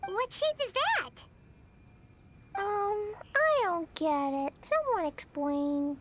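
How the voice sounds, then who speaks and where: A woman voicing an excitable, childlike little girl companion in a video game, bubbly, eager and exclamatory. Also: very high voice, takes it slowly, heavily acted. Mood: puzzled, curious. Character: excitable small childlike girl companion, speaks in third person.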